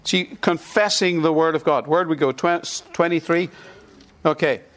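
A middle-aged Irish pastor delivering a sermon, his voice sitting a little high for a man. He leads his congregation in call-and-response, repeating short lines with emphasis so they can echo them back. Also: fairly even intonation, normal speed, a bit bright.

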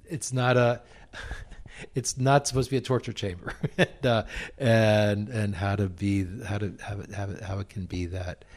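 A priest interviewing on a radio program, speaking haltingly, restarting and repeating words as he gathers his thoughts. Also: lowish voice, fairly even intonation, a little mellow.

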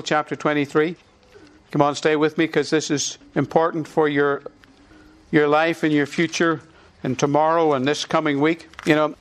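A middle-aged man with an Irish accent, preaching a sermon and leading the congregation in call-and-response. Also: a little high. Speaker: pastor.

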